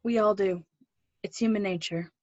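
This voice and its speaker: A woman reading the part of an older, guarded but protective woman in a play, conversational and gently teasing. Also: medium pitch, fairly even intonation, normal speed, no room sound, highs cut off, lightly acted. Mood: soft, reflective. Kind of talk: play readthrough acting.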